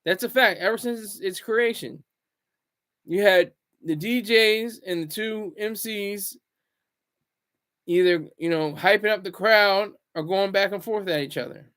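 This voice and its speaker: A man hosting a casual solo commentary vlog, rambling and restarting his words, swinging between loud bursts and low asides. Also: a little slow. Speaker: commentary channel host.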